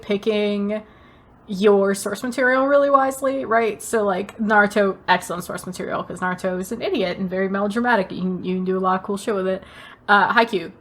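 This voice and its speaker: A woman's voice: medium pitch, fairly bright tone. A woman co-hosting a casual pop culture podcast, talking emphatically and gushingly with plenty of swearing. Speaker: podcast co-host.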